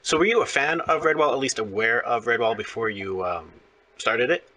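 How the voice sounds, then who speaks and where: A man's voice: mid-range voice, normal speed, pushed and bright, a little hiss or hum. A man hosting a conversational interview, explaining things in a rambling way. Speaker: interviewer, host.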